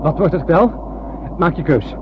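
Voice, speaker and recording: A man's voice: a little high, expressive, unhurried. An actor playing an eager, impulsive young spaceman in a radio play, playful and wide-eyed. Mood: eager, pleading. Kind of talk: radio drama acting. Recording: dull, noisy old radio recording.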